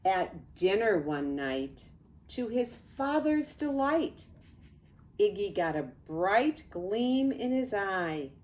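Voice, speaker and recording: An older woman, a grandmother, reading a children's storybook aloud in an expressive, deliberate way. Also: medium pitch, muffled recording.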